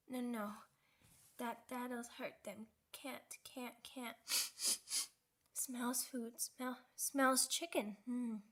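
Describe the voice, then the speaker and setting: A young werewolf pup girl, played by a woman in an ASMR roleplay, speaking very slowly in a fairly high voice. She is timid and sweet, with hesitant, childlike phrasing and small stammered repeats. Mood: dazed, hungry, struggling.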